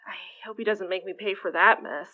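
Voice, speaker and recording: A woman's voice: mid-range voice, fairly even intonation, a little fast, natural voice. A woman playing a caring, down-to-earth young companion in a horror audio drama. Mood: concerned. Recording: slightly dull sound.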